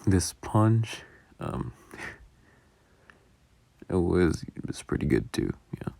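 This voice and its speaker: A man with a low, gravelly voice talks through his own creative work as casual commentary. He rambles along slowly and is self-deprecating, swearing offhandedly as he goes. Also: very animated, warm tone, dry recording. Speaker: audio roleplay creator. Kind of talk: casual behind-the-scenes commentary.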